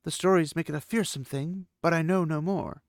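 A man's voice: fairly high pitch, fairly quick, no room sound, studio quality, pitched above the performer's own voice, natural voice. A man voicing a proud, formal young female desert warrior with solemn, stiffly formal speech. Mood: earnest.

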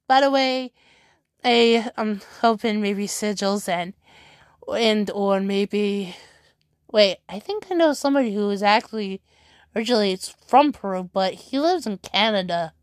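Slow, chatty woman with a higher voice. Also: no echo, clean studio sound. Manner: rambling, chatty, self-correcting. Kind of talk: casual chat to viewers.

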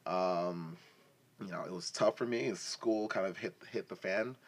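A man's voice: low voice, measured pace. A man in his twenties from Africa and Canada, telling his story in casual, bantering, slangy conversation. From West and East Africa, then Canada. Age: 20s. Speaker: football player telling their story as a podcast guest. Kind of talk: casual chat, personal storytelling.

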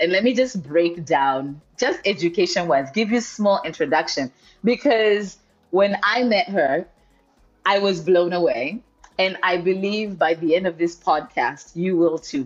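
A woman from the Democratic Republic of the Congo hosts a podcast with a lower voice and a playful, expressive delivery. She throws in slangy asides as she introduces her guest. The recording sounds dull and lo-fi. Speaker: podcast co-host. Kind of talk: casual podcast interview.

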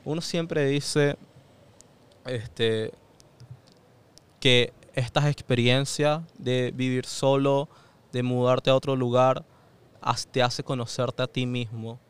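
A man's voice: medium pitch, fairly even intonation, slow pace, pauses often, clear, resonant tone. A young man with a Venezuelan accent, a podcast guest thinking aloud in casual conversation, with frequent fillers and restarts.

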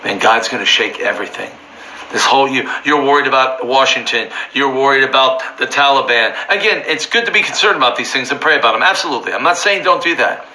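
Brief: slightly hoarse man preaching, very bright and forceful tone, faint hiss behind. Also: mid-range voice, animated, fast talker, rough recording. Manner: fervent, rising to near-shouting emphasis. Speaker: Bible teacher. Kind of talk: informal Bible teaching.

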